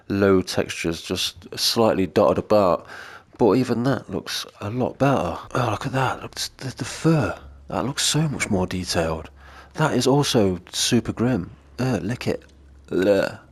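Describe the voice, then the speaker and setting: Brief: British male gamer, slightly low voice, stuffy from a cold, eager and chatty. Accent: British English. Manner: enthusiastic, chatty, gushing over detail. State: congested from a cold. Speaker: gaming video creator. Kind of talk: gameplay commentary.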